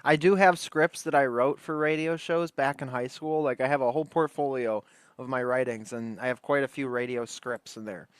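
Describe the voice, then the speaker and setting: A man's voice: medium pitch, normal speed, clear, resonant tone, no echo. A man hosting a livestream, chatting casually and enthusiastically in loose, slangy speech. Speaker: livestream host. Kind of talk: casual chat, reacting to old radio shows.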